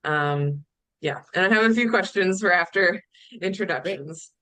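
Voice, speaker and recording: Woman w low, bright, smooth voice, friendly intro, dry sound. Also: unhurried, no echo. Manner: friendly, laughs between phrases. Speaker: carbon project manager. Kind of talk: self-introduction on a video call. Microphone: video call.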